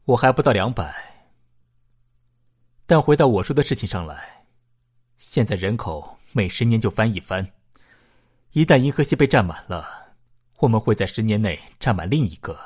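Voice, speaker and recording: A man acting in a sci-fi radio drama as a thoughtful, long-lived future human, reasoning emphatically with a slightly husky voice. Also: lowish voice, big pitch swings, moderate pace, pauses often, a little mellow. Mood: reflective. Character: far-future man nearly two hundred, youthful, pondering humanity's fate.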